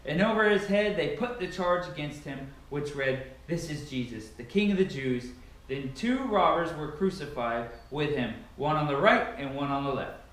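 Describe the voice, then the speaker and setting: A man's voice: on the high side, lively intonation, moderate pace, fairly bright tone. A young adult man giving a dramatic Bible reading in a declamatory, emphatic way, recorded by a camera a few meters away in a large living room. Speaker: reader of scripture. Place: large, sparsely furnished living room indoors. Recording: very echoey room, camera at a distance.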